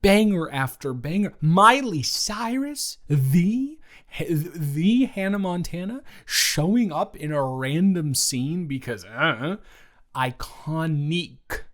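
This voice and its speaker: A young American man improvising a casual roleplay chat, talking emphatically and haltingly, repeating words for stress. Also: a little high, animated. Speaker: audio roleplay performer.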